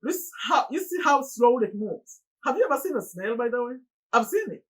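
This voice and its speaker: A middle-aged Ugandan woman speaks in a slightly husky, breathy voice that sits a touch high. She talks straight to her viewers in an earnest, pleading way. Now and then her voice jumps up in animated exclamations. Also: brisk. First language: Luganda. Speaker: motivational YouTuber. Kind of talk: motivational monologue to camera.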